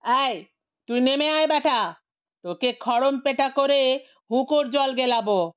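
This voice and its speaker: A woman's voice: sits high, unhurried, highs cut off. A woman voicing a crotchety, crafty old bald man in a comic audio story. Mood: angry. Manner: grumbling, then wheedling and mock-meek.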